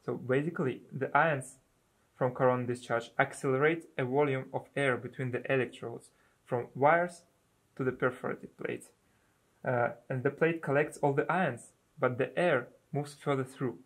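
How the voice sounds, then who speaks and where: A man's voice: mid-range voice, steady tone, moderate pace. A man in the role of a researcher, giving a matter-of-fact technical explanation of a prototype.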